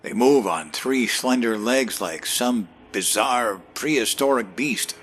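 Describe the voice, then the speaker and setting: A man acting in an audio drama as a skeptical, quietly amazed scientist interviewed on the news, hesitant and deliberate. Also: medium pitch. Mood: awed. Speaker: scientist guest on newscast.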